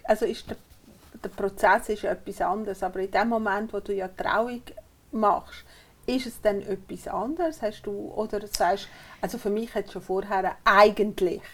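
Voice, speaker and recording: Podcast host, female, curious interviewer, ordinary pitch. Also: steady tone, moderate pace, a bit bright. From Switzerland. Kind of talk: casual interview questions.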